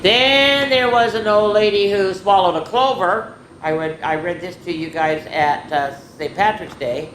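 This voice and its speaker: A woman's voice: mid-range voice, unhurried, hardly any pauses. A woman hosting a children's story time, reading picture books aloud in a playful, chatty way with rambling asides to her viewers. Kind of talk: informal picture-book read-aloud and show-and-tell to children. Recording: echoey room with clear background noise.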